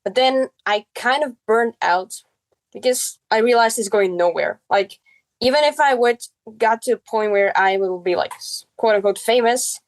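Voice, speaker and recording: A woman's voice: a little high, normal speed. A girl in her early teens, a native Czech speaker with a Czech accent, telling her story casually as a podcast guest. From Czech Republic.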